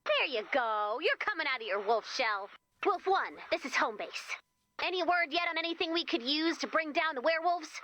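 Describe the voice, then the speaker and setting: A woman's voice: sits high, expressive, moderate pace, pushed and bright. A woman playing a peppy, encouraging young mission leader in a video game, chatty and coaxing. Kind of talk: video game character voice acting.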